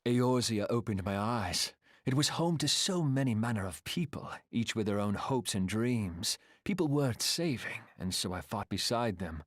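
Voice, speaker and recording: An actor playing a proud, reflective exiled engineer and airship captain in a video game, speaking in an earnest, measured, solemn way. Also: male voice, on the low side, animated, dry recording, studio quality. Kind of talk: dramatic video game voice acting.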